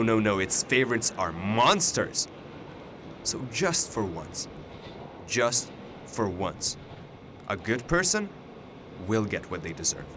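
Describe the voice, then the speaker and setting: An actor in a radio drama playing a bitter, zealous older alternate self who makes intense, persuasive, grandiose speeches. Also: male voice, on the low side, background noise.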